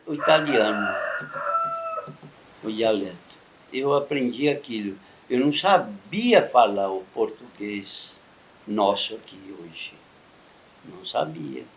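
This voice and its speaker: Slow-talking plainspoken man. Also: medium pitch, fairly bright tone, dull audio. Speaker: interviewee. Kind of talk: casual recollection.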